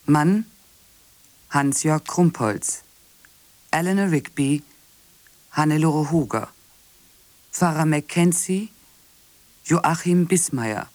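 Very measured, slow woman announcer. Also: low-pitched, darkish tone, dry recording. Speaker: announcer reading radio play credits. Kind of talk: scripted announcement. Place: dry radio studio.

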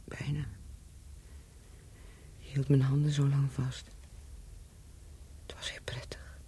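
A husky, dark-toned woman speaks slowly in an intimate radio drama. She is a cynical, bittersweet woman of the world. Her breathy voice often sinks toward a whisper. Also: very deep voice, flat delivery, full character voice. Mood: wistful, intimate. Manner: slow, deliberate, bittersweet and mocking. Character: world-weary cynical ex-prostitute and former spy, now hotel keeper. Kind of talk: intimate radio play acting.

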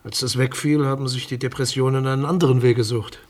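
Actor playing male state prosecutor, medium pitch, formal, measured, bureaucratic, condescending, radio crime drama. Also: fairly even intonation, lightly acted. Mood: measured, matter-of-fact. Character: dismissive, formal male state prosecutor defending his findings.

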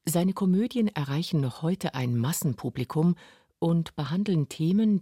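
A man narrating a scripted radio documentary in a measured storytelling way. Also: a little high, mellow, no room sound. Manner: measured, clear storytelling delivery. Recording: dry studio with faint music.

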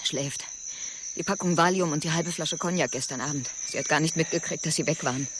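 A woman's voice: low-pitched, fairly quick, close to an everyday voice. An actress playing a commanding, brusque woman leading survivors under siege in a radio drama, speaking formally and with authority. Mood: weary, uneasy. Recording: loud jungle insect noise behind.

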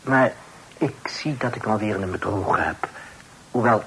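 An actor playing a courteous, eager young gentleman in a period radio drama, with florid, formal politeness. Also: lowish voice, moderate pace. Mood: formal, hesitant. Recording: faintly noisy old radio play recording.

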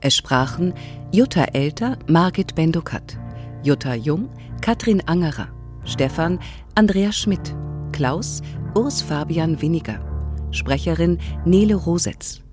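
A woman's voice: low voice, expressive, measured pace, darkish tone. A woman announcer reading scripted credits for a radio drama. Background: guitar music.

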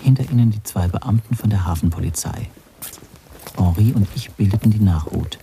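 An actor as the first-person narrator of a crime radio play, telling it in a measured, literary way. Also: male voice, on the low side, gravelly, dark tone, slight background noise. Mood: suspenseful narration. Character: man recounting a tense night police raid. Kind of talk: scripted radio drama narration. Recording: music behind the voice.

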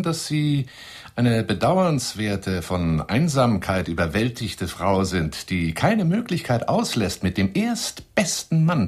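A man's voice: medium pitch, breathy edge. A man playing a boastful, touchy lion hunter in a radio play monologue, speaking in a wheedling, pompous manner. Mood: defensive, indignant, then condescending, needling. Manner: wheedling, pompous, swinging into whispers. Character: boastful, touchy male lion hunter telling tall tales.

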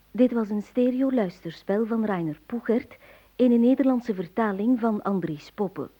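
A woman reading a scripted announcement as a radio announcer. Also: mid-range voice. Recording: dull, muffled radio recording.

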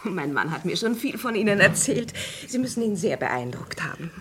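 Poised, animated wife played by an actress. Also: female voice, medium pitch, moderate pace, slight background noise, close to an everyday voice. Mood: charming, poised. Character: poised, charming hostess wife. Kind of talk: vintage German crime radio play acting.

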